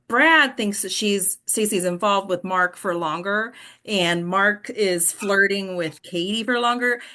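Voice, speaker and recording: A woman's voice: medium pitch, bright, forceful tone. A woman acting coach hosting a casual discussion, explaining with emphasis and prompting the group with questions.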